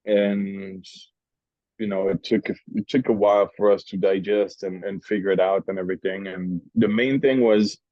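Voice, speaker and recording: Swiss man, lunch-interview guest, low voice, rambling and chatty, muffled dry sound. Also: fairly even intonation, moderate pace, dry recording. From Switzerland. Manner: rambling, with restarts and long asides. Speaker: interview guest, cigar maker. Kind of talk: casual lunch chat. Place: restaurant at lunch.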